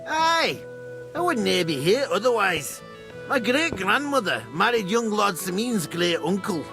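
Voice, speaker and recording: An actor playing a wry, chatty roving young nobleman with a Scottish accent and a creaky voice in a medieval game. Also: sits high, big pitch swings, unhurried, exaggerated voice. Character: breezy, roving young Moravian nobleman, man. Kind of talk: video game dialogue acting. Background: music.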